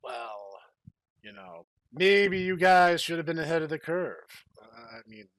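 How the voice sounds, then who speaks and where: Animated male podcast host. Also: fairly high pitch, measured pace, no room sound. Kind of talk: casual podcast chat.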